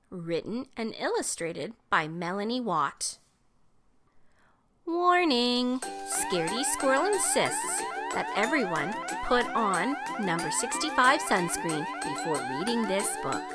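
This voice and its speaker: A woman reading aloud to young children in an expressive, emphatic storytelling style. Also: on the high side. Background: children's music.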